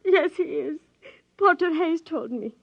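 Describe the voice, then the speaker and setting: High-voiced, distraught wife. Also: female voice, lively intonation, measured pace, vocal fry, heavily acted. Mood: bitter grief. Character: distraught, bitter married woman, grieving her murdered father. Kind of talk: 1950s radio drama acting. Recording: muffled, echoing 1950s radio recording.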